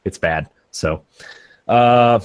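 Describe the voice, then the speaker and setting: Low-voiced man, dry close recording. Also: drawn-out words, clean tone, dry recording. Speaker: podcast co-host. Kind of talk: casual chat.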